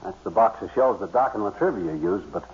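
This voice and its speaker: A man's voice: fairly low pitch, expressive, a little fast, darkish tone, lightly acted. A man playing a sheepish, blustery middle-aged husband who stammers, in 1950s radio comedy. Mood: flustered. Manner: stammering, hesitant. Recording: muffled, noisy vintage radio broadcast.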